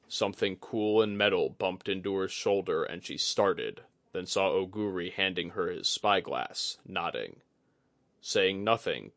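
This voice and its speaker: A man's voice: low-pitched, a bit bright, dry recording. A man narrating a scripted fantasy story as an audiobook reader.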